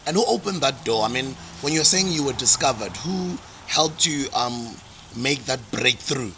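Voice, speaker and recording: A man's voice: mid-range voice, lively intonation, moderate pace, vocal fry, background noise. A man hosting a podcast, asking long earnest questions and correcting himself as he goes. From South Africa. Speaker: podcast host. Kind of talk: casual interview.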